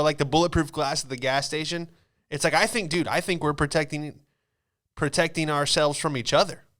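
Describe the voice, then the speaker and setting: A man's voice: a little high, a bit bright. A man in his late twenties with an American accent, a podcast host telling comic stories in a casual, slangy, rambling way. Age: late 20s. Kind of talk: comedic storytelling.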